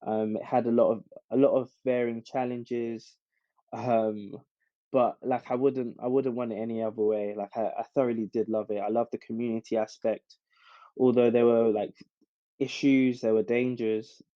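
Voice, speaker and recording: A man's voice: medium pitch, little pitch movement, normal speed, dry recording. A man from South London with a London accent, answering casually and reflectively as a podcast guest. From South London, England. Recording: dull, dry recording with music.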